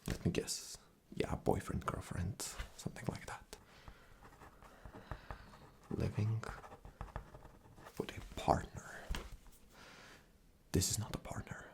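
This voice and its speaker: A man playing an arrogant, rude boss in a whispered ASMR interview roleplay, breathy, condescending and dismissive. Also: sits low, steady tone, takes it slowly, long pauses, full character voice. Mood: mocking, dismissive.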